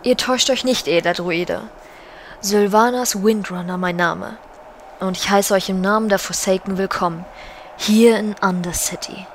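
A woman acts a brooding undead banshee queen in a fantasy parody radio play. She speaks in stately, formal phrases at a middle pitch, with clear background noise behind her. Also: moderate pace, lightly acted. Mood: regal, composed. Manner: stately, formal, archaic courtesy. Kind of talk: German fantasy parody audio drama.

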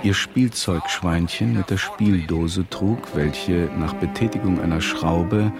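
Male narrator for radio drama: deep, dry delivery, music bed. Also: slow pace.

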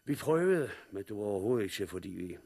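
An actor playing a stoic blind war veteran in a 1960s radio drama, talking with dry gallows humour and matter-of-fact calm. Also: male voice, fairly low pitch, gravelly, exaggerated voice. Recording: old radio recording with faint hiss.

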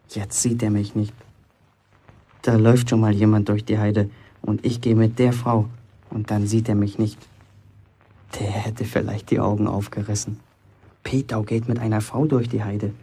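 A young village apprentice bricklayer in a radio play, eager to impress. His voice is gravelly and mellow, with a husky edge. He talks colloquially and boastfully, as if confiding his own importance. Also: fairly low pitch, full character voice. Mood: dreamy, admiring. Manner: boastful, chatty inner monologue, colloquial. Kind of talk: German radio play acting, first-person monologue.

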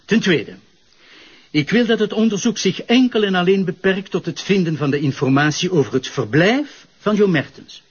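A man with a Flemish accent playing a gruff, sceptical, by-the-book public prosecutor in a crime drama, with a husky voice. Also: high-pitched, lively intonation, moderate pace. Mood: stern. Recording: muffled lo-fi audio.

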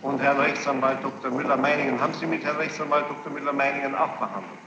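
A man speaking as a presiding judge, questioning a witness in a stern, insistent, formal and halting way. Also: medium pitch. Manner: formal, long-winded, halting sentences. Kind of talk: courtroom questioning. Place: large reverberant courtroom. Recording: muffled, noisy, echoey archival courtroom tape. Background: clear tape noise and room ambience.

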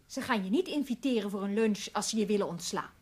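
An actress playing an exasperated, sharp-tongued middle-aged wife in a radio play, with an emphatic, scolding delivery. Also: female voice, medium pitch, quick pace, breathy edge, close to an everyday voice. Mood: impatient, firm. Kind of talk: Dutch radio drama acting.

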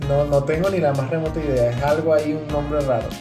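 A man chats as a podcast co-host, joking and wandering into explanations. His voice sits in an echoey room, with music clearly playing behind it. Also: fairly high pitch, fairly even intonation, quick pace. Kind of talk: casual humorous chat. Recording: roomy echo, music behind voice.

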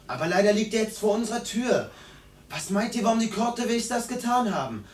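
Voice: mid-range voice, sing-song, brisk, reverberant, far from the mic, lightly acted. An actor playing a headstrong, defiant seventeen-year-old boy, brash and insistent, in a radio drama. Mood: defiant, angry.

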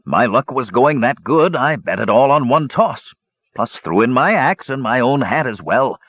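A man's voice: medium pitch, big pitch swings, moderate pace, husky, no echo, dull audio, pitched above the performer's own voice. Man voicing a sheepish dwarf gambler, swooping intonation. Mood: sheepish, wistful. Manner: hesitant, hedging, sighing confessions with folksy turns. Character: sheepish, wheedling male dwarf gambler, smugly roguish. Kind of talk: audiobook fantasy character voice.

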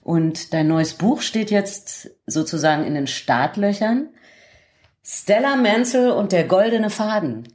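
A woman's voice: medium pitch, animated, slow, darkish tone. A woman hosting a radio show in a conversational interview style.

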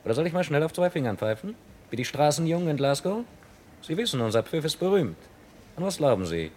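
Lively-toned, dryly composed investigator. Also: male voice, on the high side, quick pace. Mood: politely taunting. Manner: calm, probing, dryly polite. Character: composed, dogged male investigator, quietly ironic. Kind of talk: German crime radio play acting. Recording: vintage radio play with background noise.

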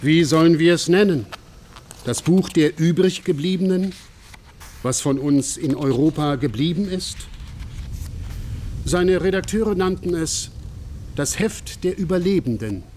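A man reading a scripted radio documentary narration in a solemn, measured manner. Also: a little high, a little mellow, slight background noise, natural voice.

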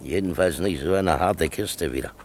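Man playing a gruff, low-voiced old villager. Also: moderate pace, a little hiss or hum, close to an everyday voice. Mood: grumbling. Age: older. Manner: grumbling, terse, stubborn. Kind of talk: German radio play acting.

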